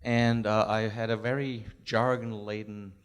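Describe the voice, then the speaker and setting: A hoarse man, a psychology professor, speaking fast and eagerly from the audience of a panel discussion with many restarts. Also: on the low side, little pitch movement. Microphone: handheld microphone.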